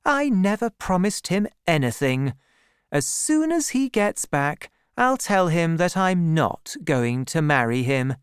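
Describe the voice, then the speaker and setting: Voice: medium pitch, very animated, takes it slowly, smooth, dry recording, studio quality, pitched above the performer's own voice. A reader voicing a proud, fiery young woman farmer with emphatic, emotional delivery in a storybook. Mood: defensive.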